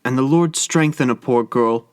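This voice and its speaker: A man's voice: mid-range voice, moderate pace, clear, resonant tone, no room sound, clean studio sound, lightly acted. A man reading aloud and voicing a desperate young wife who pleads breathlessly and urgently. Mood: pleading.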